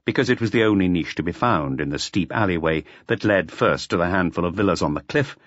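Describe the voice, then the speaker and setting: A man's voice: sits low, lively intonation, brisk, dry recording, highs cut off. A man narrating an audiobook in a scripted, dramatic storytelling style.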